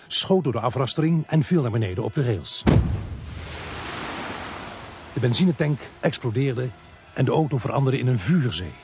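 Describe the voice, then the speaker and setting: An actor playing a sober, sardonic police inspector who narrates a murder case in a radio play. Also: male voice, medium pitch, background noise, lightly acted.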